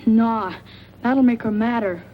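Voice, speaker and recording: A wistful, plain-spoken young boy in a 1940s radio play. The voice is raspy-edged and childish. The old recording sounds dull and muffled. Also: a little high, slow. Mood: sulky, resigned.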